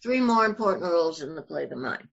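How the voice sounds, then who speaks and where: An older woman being interviewed, telling family anecdotes conversationally in a halting but animated, storytelling manner, on muffled audio. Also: lowish voice, creaky. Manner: halting, animated retelling with acted-out quotes.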